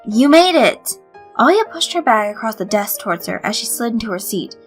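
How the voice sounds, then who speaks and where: A woman reading a scripted story as narrator, in an expressive storytelling style. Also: a little high, fast talker. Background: light background music.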